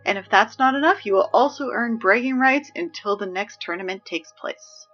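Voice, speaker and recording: A woman playing a chipper high school student reading announcements in an audio drama, brisk and deadpan about absurd horrors. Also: lo-fi sound, faint music behind, close to an everyday voice. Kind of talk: scripted audio drama acting as public announcements.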